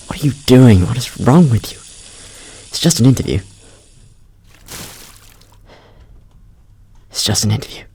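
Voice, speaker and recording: A man's voice: medium pitch, a little hiss or hum, lightly acted. An actor in an audio drama playing a nervous, fragile young engineering student, hesitant and awkward, stammering as he speaks. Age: young adult.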